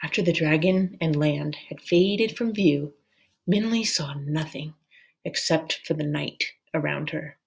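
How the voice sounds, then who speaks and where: A woman reading a children's novel aloud as a storyteller, in a measured, deliberate way. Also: sits low, gravelly, slightly rough.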